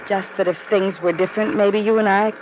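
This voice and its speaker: A woman's voice: medium pitch, steady tone, a little fast, highs cut off, close to an everyday voice. An actress playing an anxious young woman in a crime story, hesitant and pleading with many restarts, in a 1950s radio drama on an old noisy recording.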